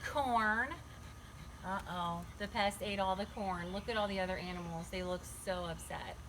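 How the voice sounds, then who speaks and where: A woman's voice: lowish voice, little pitch movement, moderate pace. Woman reading to kids, noisy recording. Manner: talks directly to children, asking questions and explaining simply. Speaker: children's read-aloud storyteller. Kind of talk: chatty picture-book read-aloud for young children.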